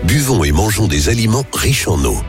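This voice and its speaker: Man, low voice, calm scripted announcement, music behind. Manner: calm, measured. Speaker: public service announcer.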